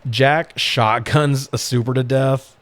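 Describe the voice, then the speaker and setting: A man's voice: mid-range voice, fairly even intonation, takes it slowly, fairly bright tone. A man co-hosting a podcast, casually recapping a movie with profane, exasperated sarcasm. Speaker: podcast co-host.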